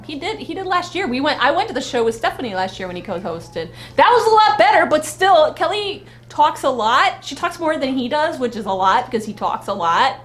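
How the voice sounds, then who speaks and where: High-pitched chatty woman vlogger. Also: very animated, a little fast, few pauses. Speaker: fan vlog co-host. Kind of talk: casual live chat recap.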